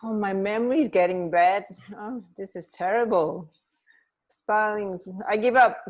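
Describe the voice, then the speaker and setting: Unhurried woman chatting on radio. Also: mid-range voice, muffled recording. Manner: musing, repeats words to herself. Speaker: radio show co-host. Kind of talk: casual chat about trivia.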